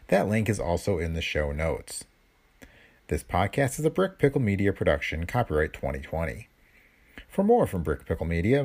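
A man introducing an old radio show on a podcast in a deliberate announcing tone. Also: low-pitched, a little mellow, husky. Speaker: podcast host. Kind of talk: scripted introduction.

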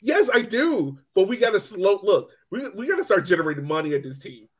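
Higher-voiced man joking on a podcast. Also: sing-song, a little fast, slightly rough. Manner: joking, playful. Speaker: podcast host. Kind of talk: casual sports podcast banter.

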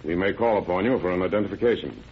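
An actor playing a confident, methodical sheriff in an old radio drama, firm and brisk. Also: male voice, deep voice. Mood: businesslike. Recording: dull, noisy old radio recording.

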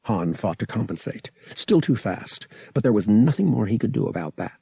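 A man's voice: lowish voice, very animated, moderate pace, vocal fry, dark tone, breathy edge, no echo, clean studio sound. A man reading scripted fiction aloud as an audiobook narrator, in a dramatic storytelling style. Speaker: audiobook reader.

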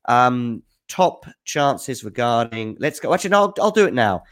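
A man with an average-pitched voice talks through viewer questions as host of a sports show. He thinks out loud, speaks off the cuff and sounds keen on the players he is discussing. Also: sing-song, moderate pace. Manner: thinks aloud, off-the-cuff and enthusiastic. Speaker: tennis news host answering viewer questions. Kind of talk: casual spoken commentary.

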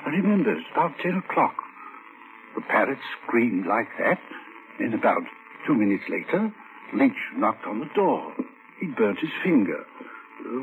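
A man's voice: mid-range voice, close to an everyday voice. An actor playing a reserved, plain-spoken middle-aged male neighbour in a vintage radio drama, cautious and clipped in his answers. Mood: remembering. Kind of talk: 1940s-1950s radio drama acting. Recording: tinny, hissy vintage radio recording.

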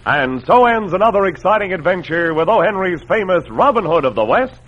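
A man's voice: high-pitched, sing-song, fairly quick. A man announcing in the style of a 1950s radio serial. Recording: muffled old radio broadcast. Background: organ music.

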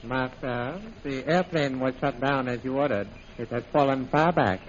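A man's voice: medium pitch, close to an everyday voice. Man playing an obedient henchman, muffled old radio. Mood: deferential. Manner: servile, formal, measured reporting. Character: obedient, deferential male henchman of a villain. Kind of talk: 1930s radio serial acting. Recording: muffled, noisy vintage radio recording.

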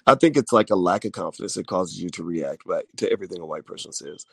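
Gravelly-voiced man hosting a podcast. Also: low voice, lively intonation, fast, fairly bright tone, dry recording, clean studio sound. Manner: joking, reads viewer comments aloud. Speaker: podcast co-host. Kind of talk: casual live-stream chat.

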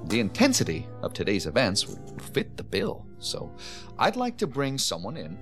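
An actor playing a flustered, talkative hospital doctor, hesitant and stammering, eager to please, in an audio drama. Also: male voice, medium pitch, huge pitch swings, normal speed, over music. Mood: puzzled, animated.

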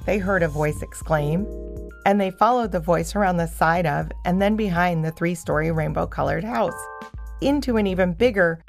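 A woman's voice: lowish voice, moderate pace, gravelly, faint music behind. A woman storyteller reading scripted narration for a children's audio story.

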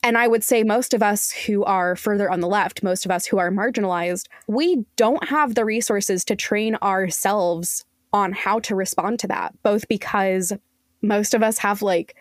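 A woman's voice: mid-range voice, moderate pace, dry recording. A woman with a mid-range voice talks as an interview guest on a podcast. She is earnest and emphatic, choosing her words articulately. The sound is very dry, with little room around her. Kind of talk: conversational interview.

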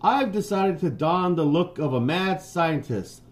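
A man's voice: on the high side, expressive, measured pace, voice pushed up. A man voicing a showy, fussy storybook ghoul with a theatrical delivery. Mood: boastful. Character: Frank Junior, male spooky ghoul friend, fussy and grumbly. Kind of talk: storybook character read aloud.

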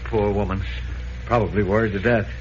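An actor playing an elderly, despairing newspaper editor in a 1940s radio serial, stammering and sputtering in agitation. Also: male voice, fairly low pitch, steady tone, fairly quick, lightly acted. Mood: gloomy, worried. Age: older. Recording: muffled, noisy old radio recording.

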